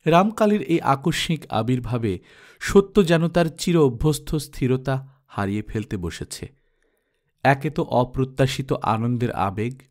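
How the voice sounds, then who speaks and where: A man narrating a literary story as an audiobook reader, with dramatic scripted delivery. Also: mid-range voice.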